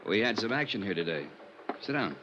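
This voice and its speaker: A man's voice: on the low side, very animated, a little fast. An American man acting a plain-spoken, weary police lieutenant in a 1950s radio drama, blunt and matter-of-fact. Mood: grumbling. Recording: muffled vintage radio recording, loud noise.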